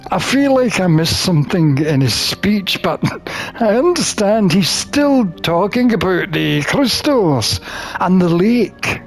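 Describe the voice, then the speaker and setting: A man reading a visual novel aloud as a gamer, expressive and theatrical, with drawn-out phrasing. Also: high voice, gravelly, faint music behind. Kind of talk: expressive read-aloud of game text.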